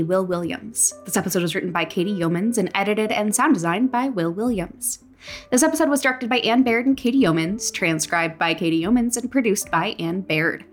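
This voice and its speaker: A woman, the creator of a fiction podcast, reading scripted credits and announcements in an informal way. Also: mid-range voice.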